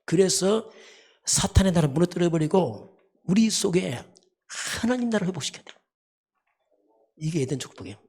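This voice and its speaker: A pastor giving a sermon in an emphatic, repetitive teaching manner, often posing rhetorical questions, with a husky voice. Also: medium pitch, lively intonation, moderate pace, pauses often, darkish tone. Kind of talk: dawn service sermon.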